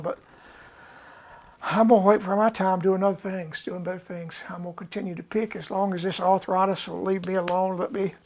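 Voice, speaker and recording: A man, chatting casually and unhurriedly to his live audience as a musician host, with many pauses. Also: high voice, vocal fry. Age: 70s. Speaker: musician hosting a live stream. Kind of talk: rambling casual chat.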